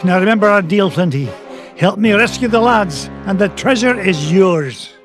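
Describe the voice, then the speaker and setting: An actor playing a crusty, determined elderly duck tycoon in a cartoon game, crotchety and emphatic, with a Scottish accent. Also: male voice, high voice, moderate pace, music in the background, close to an everyday voice. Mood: earnest.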